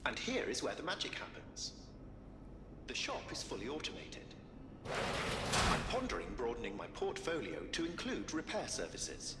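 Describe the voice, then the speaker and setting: An actor voicing a polite, formal artificial intelligence that runs a company in a video game, speaking in measured, precise wording. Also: male voice, a little high, wildly swooping pitch. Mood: courteous, formal. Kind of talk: scripted video game dialogue. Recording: long hall reverb, loud music behind.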